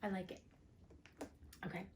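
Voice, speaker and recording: A woman's voice: measured pace, darkish tone, a little hiss or hum. Sassy woman reading storybook tales. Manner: sassy, outspoken, colloquial commentary. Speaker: host reading classic tales aloud. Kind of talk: storybook reading with casual asides.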